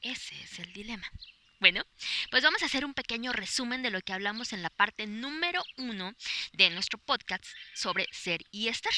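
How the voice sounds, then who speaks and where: A woman's voice: a little high, lively intonation, moderate pace, sharp tone, a little hiss or hum. A woman with a Mexican accent, a Spanish teacher giving a podcast lesson outdoors in a park, explaining patiently with many fillers and examples.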